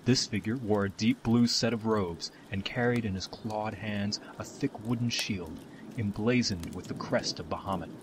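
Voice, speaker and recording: A man's voice: fairly low pitch, normal speed, background noise. Male fantasy narrator over faint ambience. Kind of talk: scripted fantasy storytelling narration.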